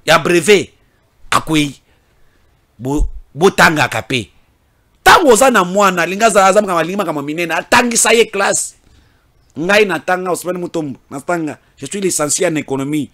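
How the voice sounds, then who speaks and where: A man from DR Congo, delivering a political commentary monologue, repeating phrases and swinging between loud declarations and low muttered asides. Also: animated, moderate pace. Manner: repeats words and phrases for emphasis.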